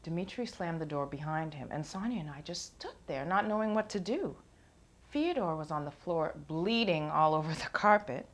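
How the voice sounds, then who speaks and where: An actress playing a composed witness, reciting a literary account in a dramatic monologue. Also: female voice, deep voice, lively intonation, moderate pace. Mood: shaken.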